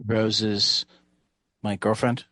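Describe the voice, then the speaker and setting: A man chatting casually as a player in a tabletop game, halting and repeating his words. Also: fairly low pitch, flat delivery, sharp tone, no room sound.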